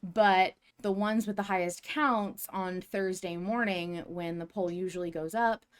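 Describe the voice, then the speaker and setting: A woman hosting a podcast, chatting and explaining in an informal, playful, expressive way. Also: mid-range voice, a little slow, clean tone. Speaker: podcast host. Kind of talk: casual fan chat and explanation.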